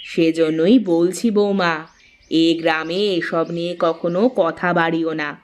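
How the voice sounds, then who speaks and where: A woman voices a grave village mother-in-law in a cartoon tale, cautioning and solemn. She speaks slowly in a lowish voice, measured like a storyteller. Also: steady tone. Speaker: narrator and voice actor. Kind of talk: scripted cartoon story narration.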